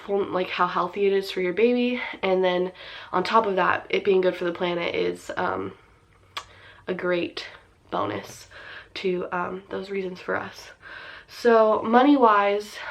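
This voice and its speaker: A woman in her twenties, sniffly from a cold, casually talking to her viewers as a vlogger with lots of ums and likes. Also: mid-range voice. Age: 20s. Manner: chatty, many ums and likes. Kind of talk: casual explainer talk to camera.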